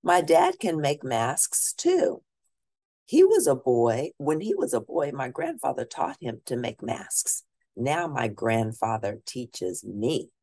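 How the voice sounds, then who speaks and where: Slow deep-voiced woman reading aloud. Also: very animated, mellow, no room sound, clean studio sound. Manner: slow, deliberate read-aloud pacing with questions to listeners. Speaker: teacher. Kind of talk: reading a picture book aloud.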